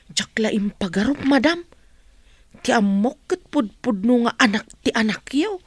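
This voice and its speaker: A woman's voice: a little high, lively intonation, a little slow, breathy edge, dry recording. A woman playing a respectful, soothing household helper in a radio drama. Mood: curious, respectful. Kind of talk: Ilocano radio drama acting.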